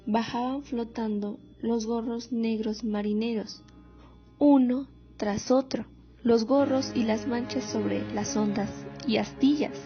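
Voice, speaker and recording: Young actress as woman war veteran, muffled, high-voiced. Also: steady tone, slow. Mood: mournful, haunted. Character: proud, wounded female war veteran recounting her memories. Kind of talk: radio drama monologue. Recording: muffled audio with music underneath.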